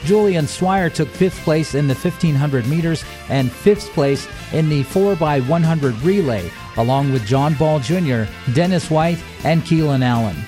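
A man's voice: a little high, unhurried, few pauses, over music. A man reading a scripted local news and sports bulletin as the newsreader. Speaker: local radio news reader.

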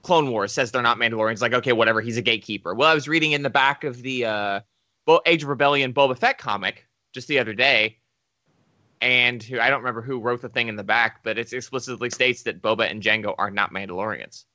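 Brief: animated male co-host with a bright voice, dry close sound. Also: mid-range voice, fast, no room sound, lo-fi sound. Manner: animated, exclaims in outrage. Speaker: commentary co-host. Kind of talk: casual group movie commentary.

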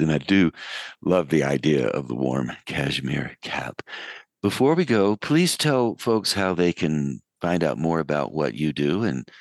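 Very deep-voiced man hosting a radio show. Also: no room sound, studio quality. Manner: warm, reflective, unhurried storytelling. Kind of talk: interview wrap-up and reflective monologue.

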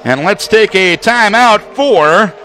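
A man's voice: high-pitched, animated, slow, bright, forceful tone, a little hiss or hum. An American man calling football play-by-play as a radio announcer, in precise, detailed, clipped phrases. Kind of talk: live sports play-by-play commentary.

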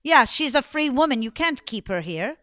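A woman voicing a brash young man who speaks in a loud, bold, declaiming way. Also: high voice, lively intonation, normal speed, dry recording, studio quality. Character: brash brave young man, a would-be hero.

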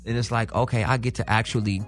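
La guy being interviewed on radio, slangy n emphatic, repeats himself, regular pitch, bit of music in the back. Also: fairly even intonation, fast talker. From South Central Los Angeles, California. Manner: emphatic, slangy, repeats phrases and checks in with listener. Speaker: guest, music artist. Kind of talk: casual radio interview chat. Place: radio show studio.

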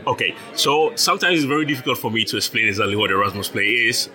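A man's voice: mid-range voice, expressive, quick pace, sharp tone. A young man from Ghana, a startup founder, explaining his business as an interview guest, patient, with frequent laughs and repeated words.

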